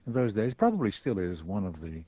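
A man hosting a radio interview, speaking in measured, formal phrasing. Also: on the low side, very animated, dark tone. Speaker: radio host. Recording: dull lo-fi radio sound.

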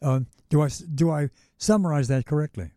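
A man's voice: on the high side, brisk, dark tone, no room sound, clean studio sound. A man hosting an interview, asking long, winding questions full of hesitations. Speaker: interviewer host.

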